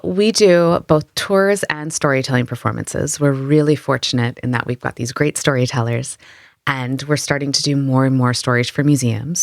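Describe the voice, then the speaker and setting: Lively woman, tour guide, dry sound. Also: extremely low pitch, no room sound. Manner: animated, lively, thinks aloud with fillers. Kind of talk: conversational interview answers. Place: Amsterdam.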